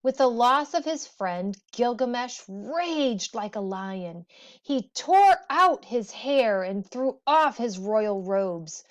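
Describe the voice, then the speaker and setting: A woman reading a storybook aloud as a teacher would, expressively and deliberately, with dramatic pauses. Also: a little high, animated. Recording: dull, muffled lo-fi audio.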